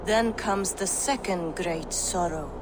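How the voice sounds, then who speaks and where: A woman's voice: lowish voice, noisy background. An actress playing a solemn, fatalistic Norn prophetess in a video game, chanting her words like an oracle. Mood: ominous. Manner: slow, oracular, incantatory. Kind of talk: dramatic video game acting.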